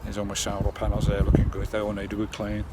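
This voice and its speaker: A man's voice: on the low side, completely flat delivery, fast talker, heavy background noise. A man with an English accent talking casually and matily to viewers, with colloquial turns of phrase, as he shows hands-on work on his campervan. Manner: chatty, colloquial, matey address to viewers. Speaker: vlogger showing a campervan radio installation. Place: in and around a parked campervan. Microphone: handheld camera microphone.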